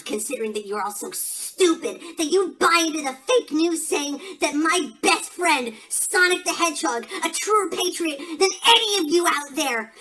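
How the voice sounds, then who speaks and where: A woman's voice: very high-pitched, sharp tone, exaggerated voice, low-quality recording. A woman playing a smug, unhinged, conspiracy-minded villain who taunts rivals in a theatrical, melodramatic wrestling promo. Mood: sneering.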